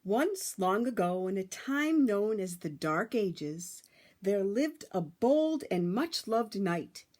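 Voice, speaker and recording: Woman, children's read-aloud, highly varied pitch, dramatic emphasis, drawn-out words. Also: big pitch swings, darkish tone. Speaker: storyteller reading aloud to children. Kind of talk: expressive children's picture-book read-aloud.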